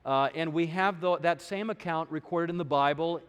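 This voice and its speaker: A man presenting a lecture in a steady, explanatory way. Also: on the high side, normal speed, smooth, in a large hall. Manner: explanatory, steady, occasional uh fillers. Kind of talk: lecture on archaeology.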